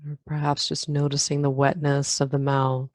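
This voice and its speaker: A woman leading a guided meditation in a calm, unhurried manner, leaving long pauses between phrases. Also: very deep voice, dry recording, studio quality. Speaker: meditation guide, yoga nidra teacher. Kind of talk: guided meditation, body scan.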